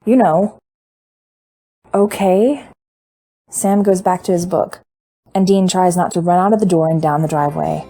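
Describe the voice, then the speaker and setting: A woman reading fan fiction aloud in a measured, intimate storytelling manner. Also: on the low side, fairly even intonation, warm tone, faint music behind. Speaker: podfic reader. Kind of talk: fan fiction audiobook narration.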